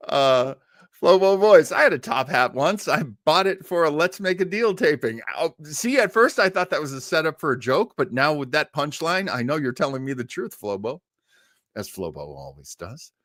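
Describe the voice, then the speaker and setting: Animated man hosting casually. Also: medium pitch, dry recording, clean studio sound. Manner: rambling, animated, jokey. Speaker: host of an old-time radio web show. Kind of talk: casual livestream chat reading viewer comments.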